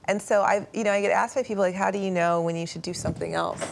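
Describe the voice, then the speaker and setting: A woman moderating a live panel conversation at a rapid clip. She is chatty and animated. She laughs often as she frames her questions. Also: mid-range voice, gravelly, slight background noise.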